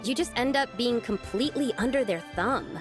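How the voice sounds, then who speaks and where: A woman's voice: fairly high pitch, moderate pace, music in the background, close to an everyday voice. A woman voicing an earnest, determined young girl in a video game, with a youthful, plain-spoken manner. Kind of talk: video game character acting.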